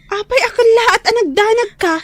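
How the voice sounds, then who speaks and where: A woman's voice: very high-pitched, brisk, fairly bright tone. A woman playing a chatty, helpful young best friend who loves to pass on news in a radio drama. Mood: gossipy, urgent. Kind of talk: radio drama acting in Ilocano and Tagalog.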